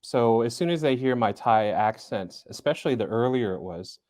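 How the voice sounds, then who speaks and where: A man in his forties, a guest in an interview, speaking thoughtfully and hesitantly with frequent fillers and soft laughs. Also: mid-range voice, lively intonation, moderate pace, dry recording, clean studio sound. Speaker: interview guest, business school center director. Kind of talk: casual interview talk.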